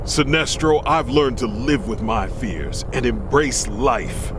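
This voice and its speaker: An actor playing a steady, brave superhero leader in a video game, firm and earnest in delivery. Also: male voice, mid-range voice, takes it slowly, background noise. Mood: resolute. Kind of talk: video game hero acting. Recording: music behind the voice.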